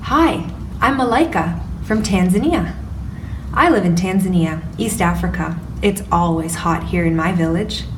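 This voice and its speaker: A woman reading as a gentle young village girl. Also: lowish voice, measured pace, echoey room, lightly acted. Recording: distant mic with background noise.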